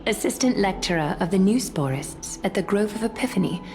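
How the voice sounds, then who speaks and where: A woman playing a composed, regal noblewoman in a video game, speaking in a soft, formal, courtly and measured way. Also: mid-range voice, expressive, faint music behind, lightly acted. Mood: poised, courteous.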